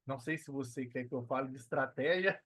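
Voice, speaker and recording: A Brazilian man giving casual, reflective interview answers as a podcast guest, pausing as he thinks and often adding tag questions. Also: mid-range voice, fairly bright tone, husky, no echo. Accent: Brazilian Portuguese. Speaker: podcast guest, affiliate marketer.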